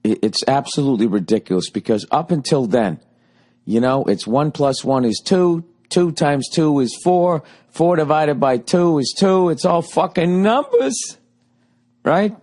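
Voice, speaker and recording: A man in his forties with a Boston accent, ranting sarcastically and profanely in a rambling comic monologue, his voice going a little hoarse. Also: a little high, lively intonation, a little slow, a little mellow. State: voice getting hoarse. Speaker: podcast host.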